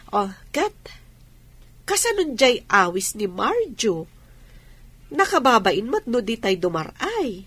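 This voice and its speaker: High, lively, teasing woman friend. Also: takes it slowly, pauses often, husky, dry recording, heavily acted. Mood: cheerful. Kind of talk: Filipino radio drama acting.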